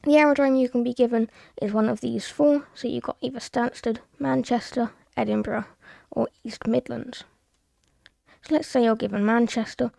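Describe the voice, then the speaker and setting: A woman's voice: fairly high pitch, fairly even intonation, normal speed, vocal fry, a little mellow, no room sound. A woman from the UK with a British accent, presenting a casual tutorial in a plain, step-by-step way. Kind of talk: casual screen-recorded tutorial narration.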